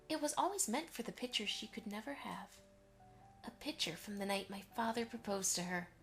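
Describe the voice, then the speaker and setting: Woman playing a high-voiced, gentle mother pony. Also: close to an everyday voice. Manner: affectionate, storytelling to a child. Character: Velvet, gentle motherly pony mare, fondly nostalgic. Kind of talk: amateur audio drama acting.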